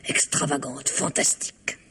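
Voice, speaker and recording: A woman's voice: a bass voice, unhurried, slight background noise, exaggerated voice. An actress playing a reticent, proud woman whose husband has vanished, guarded and emotional under questioning in an old radio drama. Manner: guarded, emotional, sometimes dropping to a hush. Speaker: wife of a missing man. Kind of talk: 1960s French radio drama acting.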